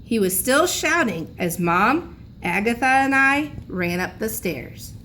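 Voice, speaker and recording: A woman teacher reading a children's storybook aloud in a deliberate, expressive way. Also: fairly high pitch. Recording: background noise in room recording.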